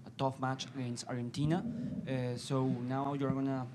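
A man, a reporter, asking a hesitant, halting question at a press conference. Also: medium pitch, little pitch movement, noisy background.

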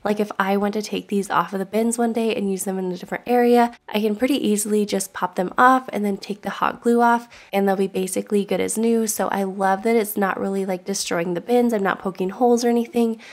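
A woman's voice: mid-range voice, fairly even intonation, fairly quick, hardly any pauses. A woman in her thirties, chatting upbeat and enthusiastic as she shows her home organizing in a vlog. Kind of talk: casual voice-over and to-camera chat. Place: at home, in a storage closet and upstairs hallway.

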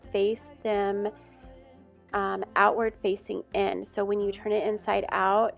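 A woman's voice: mid-range voice, gravelly. A woman in her thirties chatting casually as she explains a home sewing and cleaning routine step by step. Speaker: home vlogger. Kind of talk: casual tutorial voice-over.

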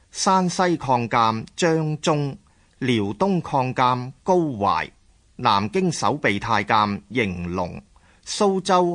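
Slow, measured Cantonese male narrator. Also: mid-range voice, a bit bright. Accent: Hong Kong Cantonese. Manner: measured, storytelling. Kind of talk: scripted historical radio drama narration.

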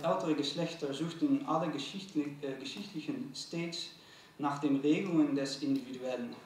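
A man's voice: medium pitch, slight background noise. Dutch-accented man in a very echoey hall. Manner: thoughtful, hesitant, many fillers and restarts. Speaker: curator responding to a lecture. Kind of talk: academic talk. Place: large museum auditorium. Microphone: stand mic at table. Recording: very echoey hall, mic from a distance.

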